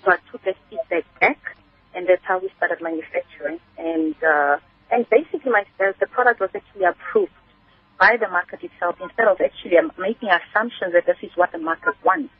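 A woman's voice: lowish voice, a little fast, breathy edge, dry recording. A South African woman talking conversationally as an interview guest, explaining things at length in a storytelling way over a narrow phone line. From Kimberley, South Africa. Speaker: guest, spice business entrepreneur. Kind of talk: conversational radio interview answers.

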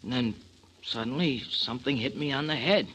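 An actor playing an eager, flustered, streetwise sidekick in a 1940s radio drama, pleading earnestly in slangy talk. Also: male voice, mid-range voice, moderate pace, fairly bright tone, husky, rough recording. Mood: pleading, defensive.

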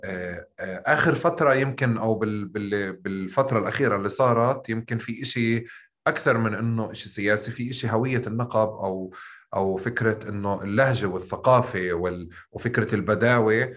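A man with a rural Palestinian accent from Tulkarm, interviewing a guest in a thoughtful way, often searching for his words. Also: lowish voice. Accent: Palestinian, Tulkarm rural with qaf. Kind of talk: conversational interview.

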